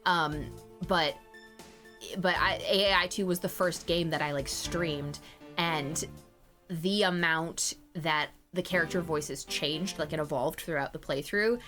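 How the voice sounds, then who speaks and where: A woman's voice: low-pitched, unhurried, fairly bright tone, faint music behind. A young woman streaming a game, chatting casually, giggling and swearing freely. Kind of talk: casual chat.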